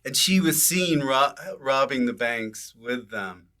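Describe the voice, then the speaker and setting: A man's voice: medium pitch, animated, takes it slowly, bright, forceful tone. Man hosting an irreverent comedy chat. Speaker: podcast host.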